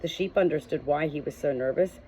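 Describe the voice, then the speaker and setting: A woman's voice: low voice, fairly quick, warm tone. A woman reading an audiobook as scripted story narration. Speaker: audiobook reader. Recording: muffled, with music behind. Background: music.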